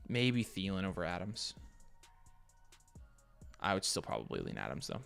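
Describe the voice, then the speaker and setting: An American man hosting a live fantasy football Q&A, casually thinking aloud as he reads questions and gives quick picks. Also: low voice, very animated, creaky, a bit bright, clean tone, soft background music. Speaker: fantasy football livestream host.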